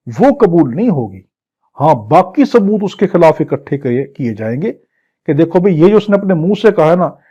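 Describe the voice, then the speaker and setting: A man's voice: a little high, mellow. A man with a Pakistani accent lecturing exam students as a law teacher, explaining didactically phrase by phrase and switching between two languages. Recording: dull, muffled lo-fi audio.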